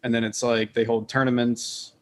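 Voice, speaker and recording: A man's voice: medium pitch, level tone, moderate pace. A man chatting casually as a podcast panelist, rambling and opinionated, with some casual swearing. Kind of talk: casual group chat.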